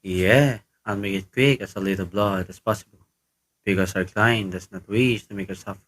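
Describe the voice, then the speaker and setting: A man's voice: on the low side, normal speed, a bit bright, low-quality recording. A man playing an unbothered, businesslike hired killer in a radio play, speaking in a matter-of-fact, reassuring way. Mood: cold, matter-of-fact.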